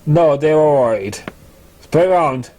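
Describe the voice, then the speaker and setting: A person reading fan fiction aloud in a halting, stumbling amateur delivery. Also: mid-range voice, lively intonation, warm tone.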